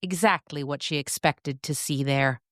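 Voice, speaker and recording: Woman, low pitch, podcast storyteller, scripted narration, measured dramatic phrasing, deliberate pauses. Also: no echo, studio quality.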